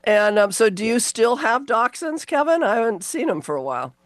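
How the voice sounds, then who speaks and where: A woman's voice: a little high, animated, fairly quick, no echo. A woman hosting a casual radio chat show, talking in a chatty, rambling way with many ums, her voice worn down by allergies. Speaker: radio host.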